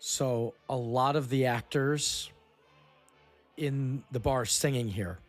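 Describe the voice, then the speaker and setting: A man's voice: mid-range voice, slow pace, soft background music. A man hosting a casual film podcast, chatting in a jokey, easily amused and affirming way. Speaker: podcast host.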